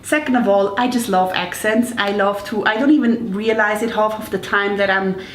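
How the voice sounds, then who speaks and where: A woman's voice: medium pitch, fairly even intonation, normal speed. A woman from Switzerland with a Swiss accent, chatting casually and playfully as the host of a home baking vlog. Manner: playful, informal, self-deprecating. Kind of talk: casual chat to camera. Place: indoors, home kitchen. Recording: roomy echo, distant mic, faint noise.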